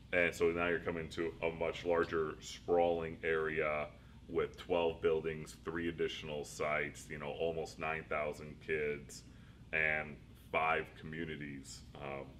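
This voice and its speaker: Casting: middle-aged male administrator, very low voice, loose rambling answers, light hiss behind. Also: fairly bright tone. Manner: rambling, self-deprecating, laughs often. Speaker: school district superintendent. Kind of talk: interview answers.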